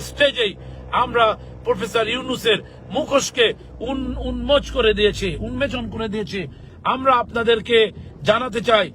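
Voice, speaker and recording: High-pitched Bangladeshi man over loud street noise. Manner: emphatic, halting short phrases. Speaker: political activist and legal expert. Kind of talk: political address to followers. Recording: loud outdoor urban traffic noise.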